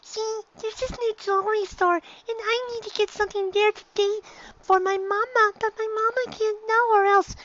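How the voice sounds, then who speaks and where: A man's voice: very high voice, fairly even intonation, normal speed, a bit bright, muffled recording, pitched above the performer's own voice. A man voicing an earnest little unicorn filly with a hesitant, imploring manner in a storybook reading. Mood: earnest, pleading.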